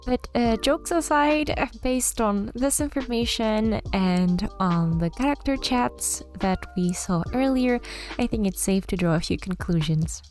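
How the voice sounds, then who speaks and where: A woman's voice: fairly high pitch, measured pace, darkish tone. A woman hosting a casual commentary video, talking to viewers in an informal, thinking-aloud way. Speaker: video host giving game character analysis. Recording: music playing behind the voice. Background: background music.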